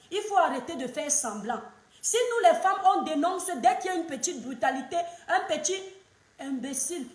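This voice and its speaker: A woman's voice: sits high, roomy sound. A woman, giving opinionated online commentary in an emphatic, lecturing way that repeats points for weight. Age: 30s. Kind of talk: opinionated social media commentary.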